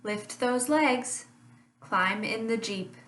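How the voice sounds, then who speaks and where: A woman teacher reading a rhyming children's picture book aloud in a slow, sing-song way, with lively honks. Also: fairly high pitch, a little hiss or hum. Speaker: family literacy teacher. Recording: echoey room, voice a bit far from mic.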